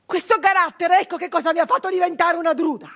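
An actress playing an embittered, defiant nineteenth-century southern Italian brigand woman in a theatrical monologue, with insistent repetitions and weighty pauses. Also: very high voice, vocal fry, fairly bright tone, heavily acted. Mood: defiant, anguished.

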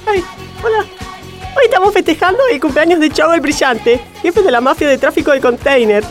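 A man voicing a gushing, affected woman party hostess in falsetto, in a parody radio play. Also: fairly quick. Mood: gushing, festive. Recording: music behind the voice.

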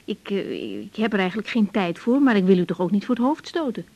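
An actress playing a young woman doctor in a naturalistic radio drama, frank and reflective, speaking in a measured, intimate, sometimes hesitant way. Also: medium pitch, big pitch swings, creaky, a little mellow. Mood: polite, hesitant. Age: young adult. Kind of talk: naturalistic Dutch radio drama acting with diary monologue.

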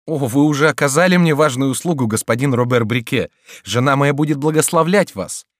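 A man voicing a timid, fussy little townsman who talks in a shrill, panicky way. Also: a little fast, no room sound, clean studio sound, close to an everyday voice. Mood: fretful.

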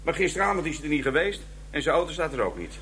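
A streetwise police detective, with a slightly high voice for a male. He is blunt and informal, in a radio crime drama with a faint hum. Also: sing-song, rapid-fire, a bit bright. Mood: blunt, informative.

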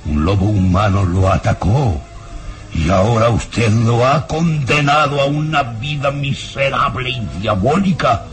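An actor playing a sinister, menacing baron in a radio drama, with theatrical, insistent, drawn-out delivery. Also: male voice, medium pitch, lightly acted. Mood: ominous, accusing. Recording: radio drama with loud music behind. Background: dramatic music.

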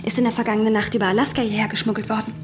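A woman's voice: a little high, little pitch movement, very fast, lightly acted. A tough barmaid played by an actress in a radio drama, cool and teasing with a dry wit. Music plays behind her. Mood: softer, cool. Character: tough, dry-witted female barkeeper in a remote bar.

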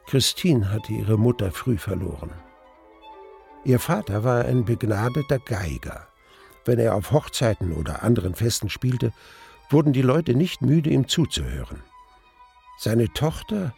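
A brooding male narrator in a radio play, recounting his past in a rather low, creaky voice. Soft music runs behind the storytelling. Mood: wistful. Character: brooding male narrator recalling his lost youth and love. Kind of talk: scripted first-person radio drama narration.

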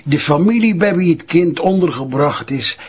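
An actor playing a forgetful, crafty priest in his eighties, with a Flemish accent and a halting, repetitive way of talking in an audio drama. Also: fairly high pitch, expressive, close to an everyday voice. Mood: reminiscing. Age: 80s. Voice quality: husky, worn voice. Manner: slow, halting, repeating himself. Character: frail, forgetful, crafty 82-year-old priest who craves wine.

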